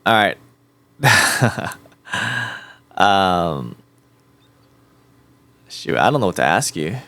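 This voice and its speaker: A man's voice: low voice, very animated, extremely slow, pushed and bright, dry recording. A man chatting casually with an AI voice assistant, rambling and repeating himself as he rephrases his questions. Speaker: host.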